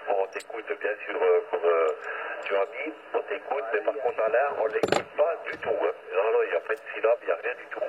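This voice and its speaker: Lowish man on a crackly radio band. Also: fairly quick. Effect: heard over two-way radio. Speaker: CB radio operator. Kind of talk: casual CB radio chat. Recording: tinny radio transmission with clear static.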